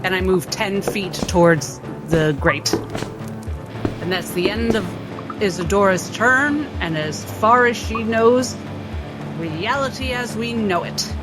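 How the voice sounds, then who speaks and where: A woman's voice: mid-range voice, slow pace. A woman talking casually as a player in a tabletop roleplaying game, calling out moves and numbers. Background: background music.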